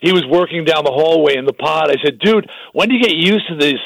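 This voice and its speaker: An older man with a gravelly voice, chatting casually as a radio co-host and telling stories with many restarts. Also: fairly high pitch, animated, quick pace, a bit bright, no room sound, rough recording. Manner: talkative, storytelling with restarts. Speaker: radio co-host and rock singer. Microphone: studio microphone.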